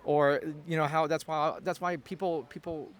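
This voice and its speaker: A man's voice: fairly high pitch, steady tone, normal speed, clean tone. A man from Hong Kong with a Hong Kong accent, Cantonese his first language, answering interview questions in a thoughtful, hesitant way full of fillers and restarts. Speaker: interview guest. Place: festival interview.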